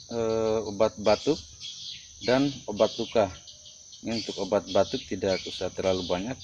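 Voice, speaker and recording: Male vlog presenter, lowish voice, halting informal explanations, clearly noisy outdoor recording with insects. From North Buton, Southeast Sulawesi, Indonesia. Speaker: herbal remedy video presenter.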